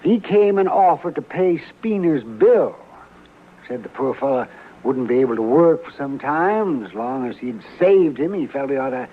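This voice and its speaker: An actor as crusty, animated frontier doctor. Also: male voice, mid-range voice, moderate pace, lightly acted. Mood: testy. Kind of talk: 1950s radio western acting. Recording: muffled old radio recording, faint noise.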